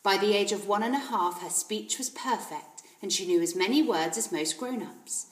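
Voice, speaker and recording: A woman, a teacher reading a children's story aloud in an expressive narrating style. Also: medium pitch, moderate pace. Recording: roomy echo.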